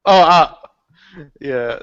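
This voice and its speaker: Slow, halting man with a smooth voice. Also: a little high, lively intonation, fairly bright tone, dry recording. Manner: halting, stammers and restarts. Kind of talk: casual chat on a live stream. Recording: dry, dull lo-fi mic.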